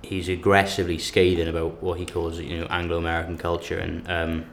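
A man's voice: deep voice, completely flat delivery, brisk, creaky, fairly bright tone. A man in casual academic discussion, talking with many restarts and emphatic bursts.

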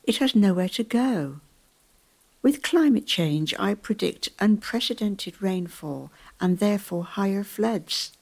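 A woman playing an earnest volunteer fish counter in a community radio play, speaking in a measured, explanatory way. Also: medium pitch, expressive, creaky, a little mellow. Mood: concerned.

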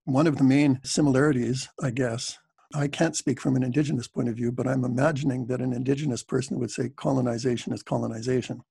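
A Canadian man, a scholar, giving thoughtful, measured answers in an interview. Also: medium pitch, fairly even intonation, gravelly, warm tone, slightly rough, dry recording. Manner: thoughtful, measured, frequent "frankly". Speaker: guest scholar.

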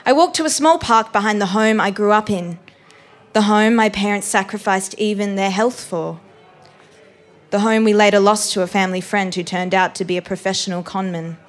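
A young woman giving an expressive live reading of a first-person story, acting out bits of dialogue. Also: medium pitch, normal speed. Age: young adult. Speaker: performing arts graduate reading a story aloud.

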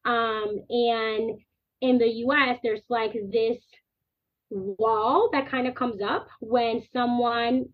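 A woman in her thirties who grew up in the Dominican Republic talks as an interview guest, her voice sitting slightly high. She speaks earnestly and with animation, stringing long clauses together. The sound is narrow and tinny, like a phone call. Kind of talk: podcast interview.

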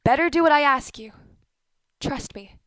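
A woman acting a bitter, commanding older wife and mother in a radio play, speaking deliberately and imperiously with emphasis. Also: sits high, fairly bright tone, no echo.

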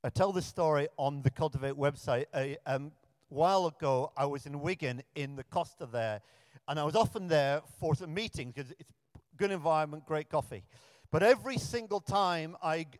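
A man's voice: a little high, moderate pace. Chatty man preaching in a reverberant church. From Africa. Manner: chatty, self-deprecating, many restarts. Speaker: preacher, pioneer minister. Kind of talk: sermon with storytelling.

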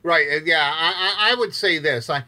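A man co-hosting a casual sports discussion, often repeating words haltingly as he begins a phrase. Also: on the high side, bright, forceful tone. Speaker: sports podcast co-host.

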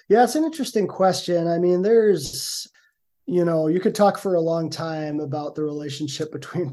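A man's voice: a little high, warm tone. A middle-aged man, a professor as podcast guest, answering thoughtfully with frequent fillers. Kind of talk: conversational interview answers.

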